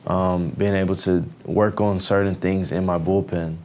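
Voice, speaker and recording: A man's voice: sits low, little pitch movement, moderate pace, darkish tone, a little hiss or hum. A man in his early twenties with an American accent, a young athlete giving casual, somewhat hesitant interview answers full of fillers. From Houston area, United States. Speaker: baseball pitcher, newly drafted player.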